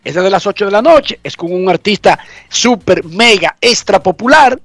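A man's voice: high-pitched, lively intonation, normal speed, fairly bright tone, dry recording. A man hosting a radio show from his home garage, talking chattily and emphatically while congested with a cold. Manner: chatty, emphatic, explains things repeatedly. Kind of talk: casual radio talk.

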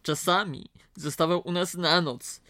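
A woman's voice: on the low side, steady tone, a little slow, a bit bright, no room sound, studio quality. A woman playing a flustered, indignant thirty-year-old bachelor nephew with a stammering, whiny manner in a radio comedy. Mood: aggrieved. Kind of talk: radio comedy drama acting.

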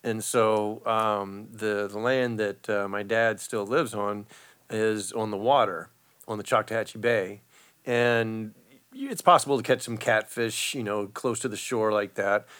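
A middle-aged man with a bright, forceful voice chats casually. He rambles through a story with plenty of ums and restarts, growing animated as it goes. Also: lowish voice, unhurried, clean tone. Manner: rambling, animated, many fillers.

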